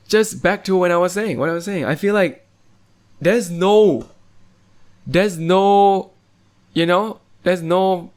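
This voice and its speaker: A man's voice: fairly high pitch, clean tone. A young man from Thailand, hosting a casual podcast chat and rambling along with lots of fillers and restarts. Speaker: podcast host.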